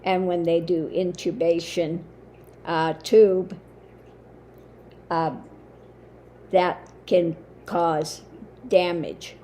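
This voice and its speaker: Slow, low-voiced woman with a strained voice. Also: fairly even intonation, mellow, a little hiss or hum. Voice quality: lowered, limited voice. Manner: slow, halting, with many fillers. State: voice weakened after throat surgery. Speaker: spiritual channel host. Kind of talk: casual monologue to camera.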